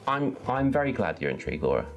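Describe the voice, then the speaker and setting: A man's voice: mid-range voice, sing-song, fast talker, gravelly, soft background music. A man hosting an enthusiastic book talk, articulate and wordy, with emphatic phrasing. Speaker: book reviewer and readalong host.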